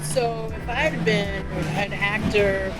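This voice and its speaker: A woman talks with confident energy, moving easily from one story to the next. Her pitch is middling for a woman. Music runs clearly beneath her voice. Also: steady tone, slow pace. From United States. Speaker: guest entrepreneur. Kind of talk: casual interview chat.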